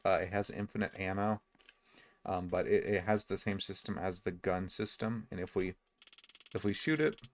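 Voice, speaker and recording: A man giving casual, low-key, explanatory video game commentary.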